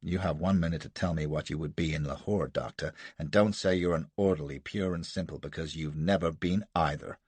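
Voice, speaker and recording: A man voicing a gruff, blustering American colonel who barks scornful outbursts, with an American accent. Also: fairly quick, few pauses, a little mellow, no room sound. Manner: barking, scornful, booming outbursts.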